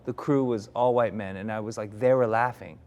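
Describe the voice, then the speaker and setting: A man's voice: lowish voice, steady tone, fairly quick, darkish tone. Reflective man with frequent restarts. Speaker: actor, interview guest. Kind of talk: candid conversation.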